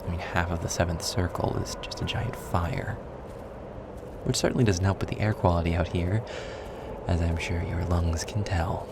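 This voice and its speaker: A man playing a snarky, weary, well-spoken demon guide in an intimate audio roleplay, with a husky, gravelly voice and a dry, wordy manner. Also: sits low, level tone, very noisy. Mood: wry, patient. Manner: sarcastic, wordy, dry, deliberate. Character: snarky, weary, erudite male demon guide trapped in hell.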